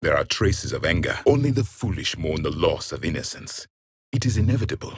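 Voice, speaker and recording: An ancient alien soldier, the last of his people, in a video game. The voice is low and rough, swinging widely in pitch as he hands down grim, haughty judgments. The phrasing is formal and clipped, full of scorn. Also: very animated, fairly quick, no room sound, clean studio sound, exaggerated voice. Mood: grim, disdainful. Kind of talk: video game character voice lines.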